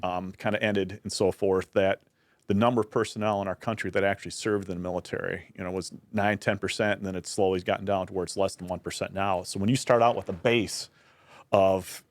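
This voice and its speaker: Guest, male, low voice, dry close mic, earnest explainer. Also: steady tone, brisk, dry recording. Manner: earnest, explanatory, frequent restarts. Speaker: university director of military affairs, guest. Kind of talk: casual podcast conversation.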